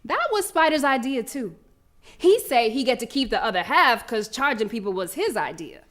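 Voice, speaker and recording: A woman's voice: fairly high pitch, big pitch swings, moderate pace, a bit bright. A woman playing a fierce, cocky preteen American girl runner in a live theatrical monologue, telling her story in brash, slangy street talk. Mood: confident, determined. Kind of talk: first-person theatrical monologue performed live.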